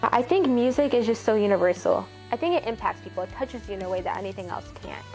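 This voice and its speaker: A woman's voice: medium pitch, animated, fast talker, creaky, mellow. Chatty young woman with faint music. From Ethiopia. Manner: earnest, chatty, many "like" fillers. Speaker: college student and singer-songwriter. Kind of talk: casual documentary interview.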